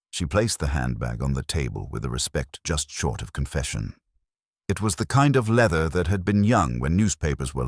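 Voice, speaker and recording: A man reading a scripted literary mystery story as narrator, in a measured, dryly wry manner. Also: very deep voice, husky, no room sound, clean studio sound.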